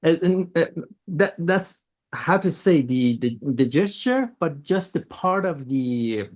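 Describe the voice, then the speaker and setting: Iranian man, halting and searching. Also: a little high, expressive. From Iran. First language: Persian. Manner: searching for words, halting restarts. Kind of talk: reflective conversation.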